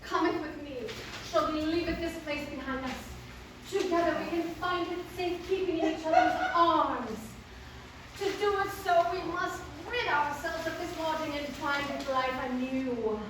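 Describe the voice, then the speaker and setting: A woman voicing a melodramatic female thief, declaiming loudly and grandly in mock-Shakespearean style to her lover. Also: high voice, normal speed. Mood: dramatic pleading. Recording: roomy echo, distant mic, faint noise.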